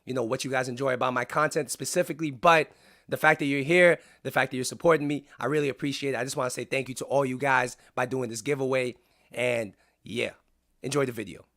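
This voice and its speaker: A man talking through video-game commentary in a casual, chatty way, sounding raspy because he is under the weather. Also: medium pitch, fairly even intonation, quick pace, fairly bright tone. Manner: casual and chatty, with frequent "um" fillers. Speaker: YouTuber. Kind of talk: gaming commentary.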